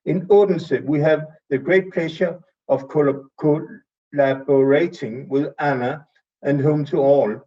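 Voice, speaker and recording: A man from Denmark with a Danish accent, giving a measured, somewhat halting introduction at an online event. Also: fairly high pitch, steady tone, mellow. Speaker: city official introducing a speaker. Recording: distant muffled mic.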